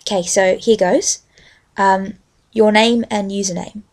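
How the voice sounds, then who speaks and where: A young adult woman with an Australian accent, speaking as a vlogger in a halting, unscripted-sounding way. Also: mid-range voice, steady tone. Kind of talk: casual rambling chat with a read-aloud book passage.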